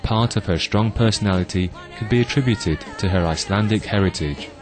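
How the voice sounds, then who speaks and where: Male narrator, low register, scripted documentary profile read with music underneath. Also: brisk. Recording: music behind the voice.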